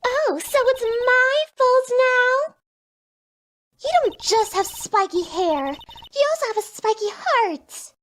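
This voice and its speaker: A woman's voice: very high voice, expressive, takes it slowly, fairly bright tone, full character voice. A woman voicing a petulant, evasive young woman in a video game fan dub, girlish and snippy. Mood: snippy, pouting.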